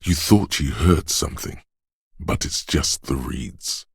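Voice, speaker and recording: An actor voicing a disembodied male inner sense of perception in a game, speaking in a hushed, measured, quietly descriptive way in the second person. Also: very deep voice, lively intonation, husky, no echo, studio quality, full character voice. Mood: hushed observant.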